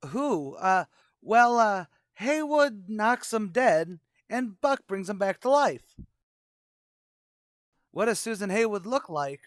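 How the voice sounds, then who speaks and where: A man's voice: high voice, expressive, slow, dry recording, clean studio sound. A man playing a brash, slangy, bluffing tavern manager who wisecracks in an old-time radio comedy. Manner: wisecracking, mock-grandiose.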